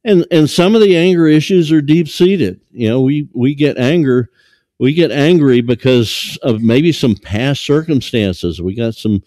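Older guy preaching in a small church, dark mellow voice, keeps restarting with uh and um, dry close sound. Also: mid-range voice, lively intonation, no room sound. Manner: halting, many fillers and restarts. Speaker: pastor. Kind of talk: sermon. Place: small church sanctuary, seated at a lectern.

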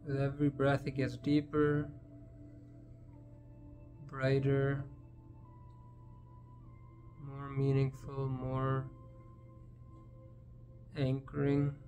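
A man leading a guided meditation in a gentle, unhurried manner, leaving long pauses between phrases. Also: medium pitch, completely flat delivery, faint music behind.

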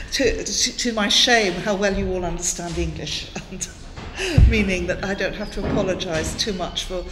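A woman's voice: lowish voice, normal speed, background noise. Welsh-born woman lecturing in an echoey hall. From Wales. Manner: thoughtful, many fillers and restarts, gestures a lot with both hands. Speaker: guest lecturer. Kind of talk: academic lecture. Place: classroom in front of a chalkboard. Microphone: headset mic. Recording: echoey room with long reverb.

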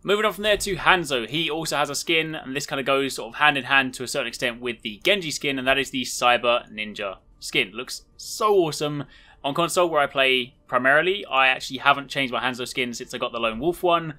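A man's voice: medium pitch, fairly quick, bright, forceful tone, faint music behind. A man giving an upbeat, casual and enthusiastic video walkthrough as a gaming host.

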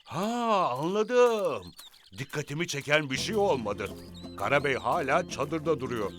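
A man voicing a naive, slow-witted cartoon henchman with a meek, sheepish manner. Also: a little high, lively intonation, fairly bright tone, soft background music. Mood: clueless. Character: dim, naive henchman, meek under scolding. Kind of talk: cartoon voice acting.

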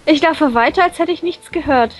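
A woman's voice: high-pitched, moderate pace, lightly acted. A woman voicing a shy, flustered schoolgirl heroine with a crush in a visual novel, stammering and hesitant. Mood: giddy, anxious. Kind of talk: visual novel dub reading with inner monologue. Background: music.

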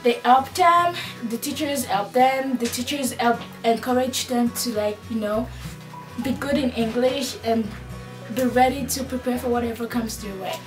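A teenage student girl talking in a lively, chatty, informal way in an interview. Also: on the high side, normal speed. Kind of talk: interview testimony. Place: school office, seated. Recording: echoey room from far off. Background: background music.

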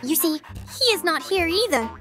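A woman's voice: very high-pitched, over loud music. A woman voicing a bossy young number creature in a kids' cartoon, arguing with its sibling about who is in charge. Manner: lively, bossy, quick to argue. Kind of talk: cartoon voice acting.

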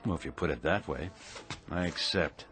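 An actor playing a calm, sly stranger in a radio drama with music underneath. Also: male voice, a bass voice, moderate pace. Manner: sly, dry, teasing. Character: calm mysterious man, sly and confident.

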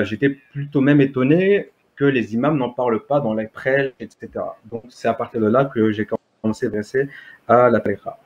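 Husky Berber-speaking man on a video call. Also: medium pitch, darkish tone. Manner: hesitant, many fillers and restarts. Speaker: interview guest, disciple. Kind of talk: testimony in conversation. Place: home room, indoors. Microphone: webcam on video call. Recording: dull lo-fi video call audio.

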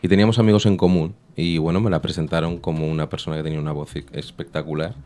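A man from Spain with a Spanish accent, a rap artist answering questions as a guest in a radio studio, relaxed and thoughtful with frequent pauses. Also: very deep voice. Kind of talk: interview answers. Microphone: studio microphone.

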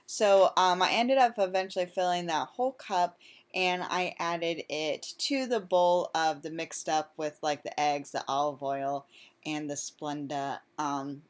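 A woman's voice: low-pitched, steady tone, unhurried. A woman casually talking through her home cooking in a chatty, self-deprecating, rambling way, full of fillers. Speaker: vlogger.

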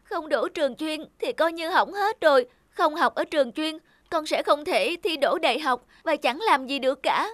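A woman voicing an earnest, emotional schoolgirl who dreams of writing, in an animated cartoon. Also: high-pitched, normal speed, hardly any pauses, dry recording. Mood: tearful, upset.

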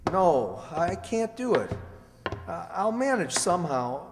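A man playing a weary, anxious fugitive doctor and father in an old-time radio drama, troubled and halting, on a hall stage. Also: high voice, very animated, gravelly, noisy background, heavily acted. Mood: worried. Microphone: stand microphone. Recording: echoey hall stage recording.

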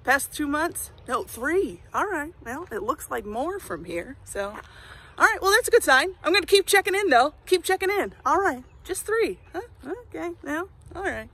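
A woman's voice: high-pitched, big pitch swings, moderate pace, fairly bright tone, pitched above the performer's own voice, exaggerated voice. A woman playing a judgmental, nosy suburban neighbor in a comedy monologue, blunt and condescending. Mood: nagging. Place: outdoors in a residential front yard.

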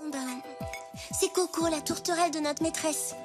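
A woman's voice: high-pitched, normal speed, lightly acted. A woman playing an eager schoolgirl of about ten in a children's cartoon. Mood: worried. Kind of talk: animated children's series dub. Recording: music behind the dialogue.

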